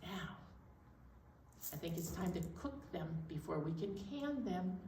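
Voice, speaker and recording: A woman's voice: on the low side, lively intonation, a little slow, mellow. A woman leading a children's storytime in simple, encouraging language, talking young listeners through hand motions and sing-along songs. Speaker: children's storytime leader at a library. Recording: roomy, distant mic, faint background noise.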